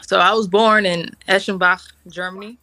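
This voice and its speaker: A middle-aged woman from Washington, D.C. talks with a bright, forceful tone as a guest in a casual interview. Her pitch sits in a middle range. She is relaxed and chatty, with plenty of fillers and easy laughter. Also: normal speed, no echo, poor audio. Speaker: guest, Army officer.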